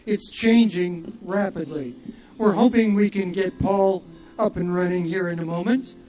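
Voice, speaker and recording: An older man with a high voice speaks into a stage microphone at an outdoor festival. He runs the show with casual, rambling announcements, full of fillers and little jokes at his own expense. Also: unhurried. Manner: rambling and self-joking, with many fillers. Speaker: festival emcee.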